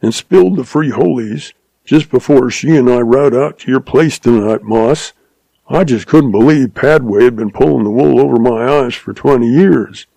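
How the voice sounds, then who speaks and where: A man's voice: medium pitch, normal speed, gravelly, dark tone, dry recording, poor audio. A man doing a muttering fat old rancher. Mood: disbelieving. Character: goggle-eyed fat older man, muttering.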